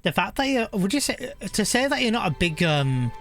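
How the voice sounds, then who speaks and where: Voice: mid-range voice, big pitch swings, brisk, gravelly, fairly bright tone. A streamer chatting casually and a little hesitantly while reacting to video game cutscenes. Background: faint game music.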